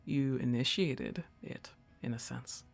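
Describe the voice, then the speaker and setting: A woman playing a laid-back, teasing young goth roommate in an intimate ASMR roleplay, talking in an unhurried, conversational way to her crush. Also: very deep voice, gravelly, soft background music, exaggerated voice. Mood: soft, teasing, affectionate.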